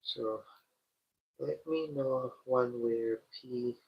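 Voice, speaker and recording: Stuffy-nosed man with a gravelly voice. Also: medium pitch. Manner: slow, repetitive, addresses the chat directly. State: stuffy, congested from a cold. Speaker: livestream host. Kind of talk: casual live-stream chat with viewers. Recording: dull lo-fi stream audio.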